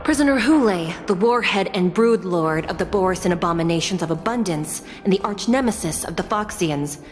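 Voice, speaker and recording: A woman playing a stern, solemn prison judge in a video game, formal, deliberate and authoritative. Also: on the low side, long reverb tail, close to an everyday voice. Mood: solemn, condemning.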